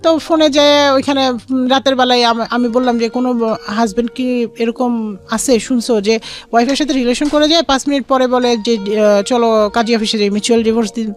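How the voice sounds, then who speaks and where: A woman's voice: on the high side, fairly even intonation, fairly quick, soft background music. A young woman with a Bangladeshi accent tells her life story in an interview, quoting conversations and mixing in English words.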